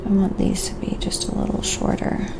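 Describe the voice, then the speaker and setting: A soft-spoken woman chatting quietly and casually while painting on an ASMR live stream, often murmuring to herself. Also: moderate pace, heavy background noise.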